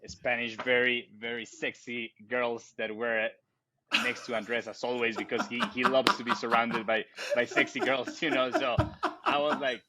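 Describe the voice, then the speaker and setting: A man's voice: medium pitch, fairly even intonation, moderate pace, very noisy. A man from Ecuador, a brand co-founder, telling a teasing story in a podcast interview.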